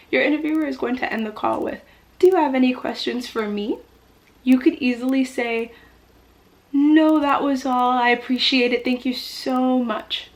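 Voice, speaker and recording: A young woman with a fairly high voice talks casually, like a vlogger sharing tips. She is encouraging and reassuring, explaining things in an informal, conversational way. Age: young adult. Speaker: vlogger giving interview tips. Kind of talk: casual advice to camera.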